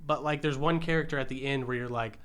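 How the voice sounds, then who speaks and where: A man's voice: medium pitch, steady tone, quick pace, a bit bright, clear, resonant tone. Casual, opinionated man reviewing movies. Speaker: podcast co-host and film reviewer.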